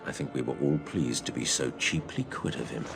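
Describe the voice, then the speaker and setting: A man playing a seafarer who tells his past adventure in a measured, literary, expressive way. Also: music in the background. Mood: wry. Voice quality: low, gravelly. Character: older seafaring man telling his past adventure to a boy, wry and fond. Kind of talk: dramatised storytelling narration.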